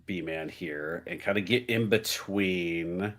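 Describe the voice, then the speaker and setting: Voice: deep voice, takes it slowly, fairly bright tone. A tabletop game player thinking aloud hesitantly in casual chat.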